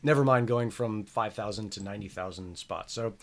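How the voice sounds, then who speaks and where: A man's voice: on the low side, fairly quick, vocal fry. A man hosting a casual podcast chat, rambling and chatty with plenty of filler words. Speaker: show host.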